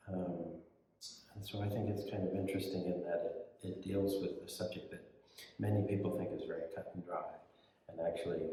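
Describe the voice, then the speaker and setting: A man's voice: low-pitched, mellow, a little hiss or hum. A man giving a reflective interview in a thoughtful, hesitant way. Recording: very echoey hall, distant mic.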